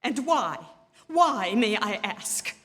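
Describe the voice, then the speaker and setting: An actress playing a nervous, demanding bedridden wife in a theatrical radio suspense drama, querulous and melodramatic. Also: female voice, medium pitch, lively intonation, takes it slowly, fairly bright tone. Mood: indignant, irritable. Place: theatre stage. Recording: live theatre stage, long hall reverb.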